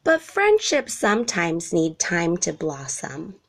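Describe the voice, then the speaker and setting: A woman's voice: on the low side, huge pitch swings, takes it slowly, a bit bright, full character voice. A woman voicing a tender, patient mother elephant in a storybook, soothing and reassuring.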